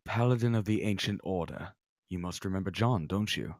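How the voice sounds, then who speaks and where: Man acting a deep-voiced gruff paladin knight. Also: brisk, a little mellow, dry recording, clean studio sound. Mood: gruff, businesslike. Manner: gruff, terse, commanding. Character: enormous gruff commanding male paladin knight. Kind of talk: screenplay table read.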